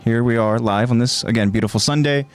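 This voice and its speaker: A man's voice: mid-range voice, quick pace, clean tone. A man hosting a live podcast, talking in a casual, effusive way full of fillers and restarts. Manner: effusive, rambling with many fillers. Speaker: podcast host.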